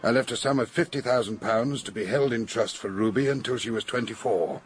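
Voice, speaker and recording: An actor playing a wealthy, strong-willed older English gentleman in a radio drama, speaking bluntly and formally. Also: mid-range voice, brisk, a little hiss or hum, close to an everyday voice. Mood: firm, defiant.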